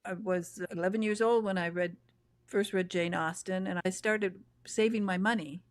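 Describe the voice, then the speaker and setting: A woman's voice: medium pitch, fairly even intonation, moderate pace, no room sound. A woman host, living in Texas, chatting and reminiscing effusively while she interviews an author. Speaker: show host. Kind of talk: casual interview chat. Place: home in Texas. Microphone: video call.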